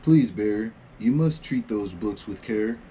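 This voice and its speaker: A man acts out a young storybook girl pretending to be a librarian. The delivery is polite, chatty and a little bossy, with a mellow tone. Background noise sits under the voice. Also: on the low side, animated, slow pace, rough recording. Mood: flustered. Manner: gracious, chatty, mildly fussy. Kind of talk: storybook read-aloud dialogue.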